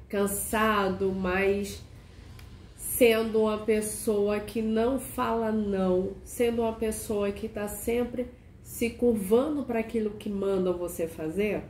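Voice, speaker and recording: A woman hosting a casual video chat. She sounds nasal and hoarse from a cold and keeps asking the viewer questions. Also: mid-range voice. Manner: chatty, asks the viewer many questions. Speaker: archetype consultant and channel host. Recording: echoey room with faint noise.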